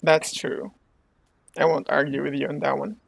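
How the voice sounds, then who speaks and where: A woman's voice: low voice, flat delivery, normal speed, vocal fry, slightly rough. A woman doing casual gameplay commentary, reacting aloud and reading out dialogue as she plays. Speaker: game streamer.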